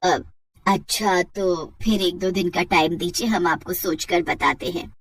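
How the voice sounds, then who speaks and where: A woman's voice: on the low side, steady tone, unhurried, slightly rough, no room sound, voice pushed down. A woman voicing a humble middle-aged village mother, polite and deferential, in a cartoon moral story. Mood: hesitant.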